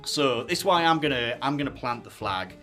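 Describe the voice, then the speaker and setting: A man co-hosting a podcast, laying out his argument in a measured way with asides. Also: mid-range voice, animated, sharp tone, soft background music. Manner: measured, argues a thesis with asides. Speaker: podcast co-host. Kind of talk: casual podcast chat.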